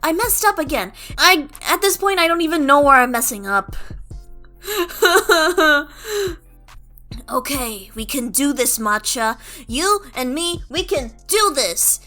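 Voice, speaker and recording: A woman's voice: high-pitched, expressive, slow, bright, forceful tone. A woman doing goofy voice acting outtakes, playful and self-deprecating, talking to herself and stumbling over lines, with music behind her. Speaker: voice actor recording roleplay audio. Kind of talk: goofy outtakes, reading takes and muttering to self.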